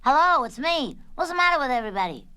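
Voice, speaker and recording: A woman voicing eager, wide-eyed young children in a storybook, exclaiming with excitement. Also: high-pitched, quick pace, pitched above the performer's own voice, full character voice. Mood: curious.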